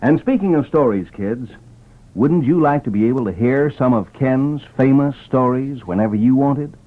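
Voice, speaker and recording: A man's voice: mid-range voice, animated, normal speed. A man announcing in the promotional style of an old-time children's radio show. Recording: tinny band-limited old radio recording.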